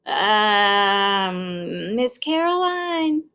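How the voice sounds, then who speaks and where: A woman's voice: mid-range voice, big pitch swings, very slow, bright, forceful tone, smooth, exaggerated voice. A woman reading the part of a frank, earnest young schoolgirl in a storybook. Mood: hesitant.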